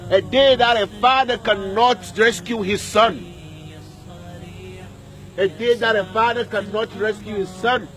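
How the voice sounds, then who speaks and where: A man's voice: sits high, a bit bright, low-quality recording. A man preaching a sermon at a graveyard, repeating lines and putting questions to his listeners, with Arabic verses in between. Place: outdoor graveyard.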